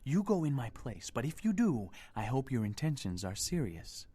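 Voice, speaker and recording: A coolly formal underworld dealer, with a lively, moving intonation at a middle pitch. He is a video game character who is polite, precise and guarded. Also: normal speed, breathy edge. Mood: grave, formal.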